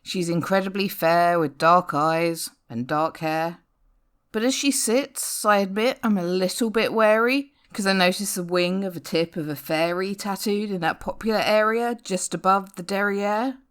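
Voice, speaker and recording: A woman reading a comic narrative poem aloud in a wry, theatrical, expressive way. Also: medium pitch, normal speed, clear, resonant tone. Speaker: poetry reader. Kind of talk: dramatic spoken-word poem reading, rhyming storytelling.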